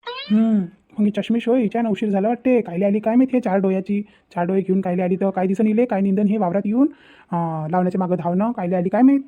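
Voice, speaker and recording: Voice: mid-range voice, fast talker, natural voice. A performer voicing a cheeky, grumbling young village lad in a comedy cartoon, with a Vidarbha Marathi accent and a habit of repeating himself. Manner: muttering complaints, repeating himself. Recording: muffled, phone-like lo-fi sound.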